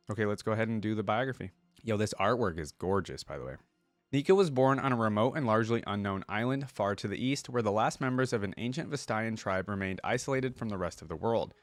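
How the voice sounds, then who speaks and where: A man with a fairly low voice speaks as an online reaction host, informal and conversational. The recording is dry, with very little room sound around the voice. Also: dry recording. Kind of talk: casual reaction commentary mixed with reading lore aloud.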